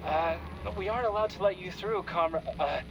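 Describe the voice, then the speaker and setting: A dutiful young guard in a video game, acted by a man, timid and flustered. He speaks hesitantly and deferentially in a slightly high voice. Clear noise sits behind him. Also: brisk, lightly acted. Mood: nervous.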